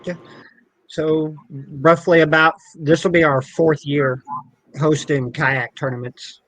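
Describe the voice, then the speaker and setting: A man's voice: a little high, steady tone, slow, fairly bright tone, no echo, rough recording. A man from the United States speaking as a podcast guest on a call, talking casually and earnestly about himself. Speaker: podcast guest, tournament director.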